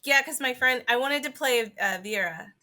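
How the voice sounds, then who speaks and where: A woman's voice: high-pitched, normal speed, bright, forceful tone. A woman podcast co-host in casual chat about games, informal and sweary, peppering her speech with "like".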